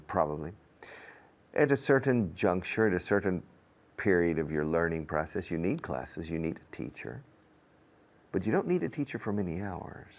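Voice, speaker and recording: A man, a native English speaker, teaching in an explanatory monologue with a deliberate, emphatic manner that repeats key words. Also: sits low. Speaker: language teacher and programme host.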